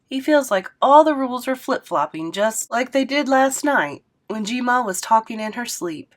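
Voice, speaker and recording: A woman reading a middle-grade novel aloud, narrating with expressive lifts for emphasis. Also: fairly high pitch, moderate pace. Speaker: read-aloud host.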